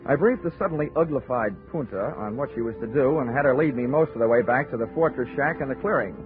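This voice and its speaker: A man's voice: medium pitch, fast, natural voice. An actor playing a wry, wisecracking insurance investigator who narrates his own case in a 1940s radio drama. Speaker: narrator and lead detective. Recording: tinny 1940s radio broadcast with music.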